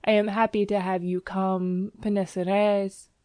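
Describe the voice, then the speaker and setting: A woman's voice: medium pitch, level tone, takes it slowly, a little mellow, clean tone, no echo, clean studio sound, natural voice. A woman voicing a stout, effusive French landlady who talks in broken English with French words mixed in. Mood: gushing.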